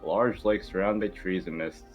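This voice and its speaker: A man's voice: low voice, steady tone, normal speed, lo-fi sound, faint music behind. A man hosting and narrating an amateur table read, informal and sometimes stumbling over the script. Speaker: host and narrator.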